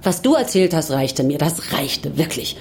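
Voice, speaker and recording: Suspicious wife, animated intonation. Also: female voice, sits low, moderate pace, a little hiss or hum. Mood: uneasy, reproachful. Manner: insistent, reproachful. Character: suspicious, probing wife uneasy about a dead man. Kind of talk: naturalistic radio drama dialogue.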